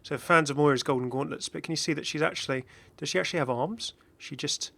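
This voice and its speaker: A man's voice: fairly high pitch, fast talker, gravelly, a bit bright. A man giving casual, off-the-cuff game commentary as a video host, chatty and rambling with enthusiastic asides.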